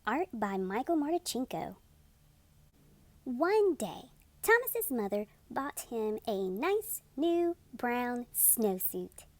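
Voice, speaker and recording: A woman hosting storytime, reading a children's book in an expressive, deliberate and emphatic narration. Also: a little high, dry recording. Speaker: storytime host and reader.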